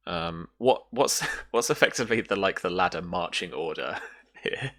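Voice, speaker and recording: A woman's voice: very deep voice, expressive, normal speed, a bit bright. A woman narrating an online tabletop roleplaying game as game master, informal and hesitant as she thinks aloud.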